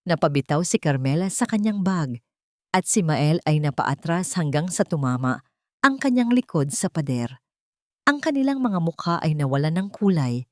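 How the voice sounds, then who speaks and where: A woman tells a dramatic story as an audio drama narrator. Her voice sits very low for a woman. The recording is dry, with little room sound. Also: dry recording.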